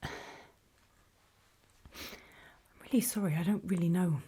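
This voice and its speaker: A woman playing a nervous, apologetic apocalypse survivor in a horror ASMR roleplay, in a soft, breathy whisper with a halting, self-conscious delivery. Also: lowish voice. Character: nervous, apologetic female zombie-apocalypse survivor recording a warning.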